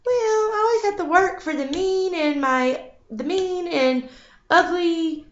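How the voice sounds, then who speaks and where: A woman's voice: very high-pitched, measured pace, voice pushed up. A woman voicing a self-pitying young maiden who whines and sobs over her hard life, in an echoey room. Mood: whiny. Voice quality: whiny, weepy. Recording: echoey, slightly dull recording.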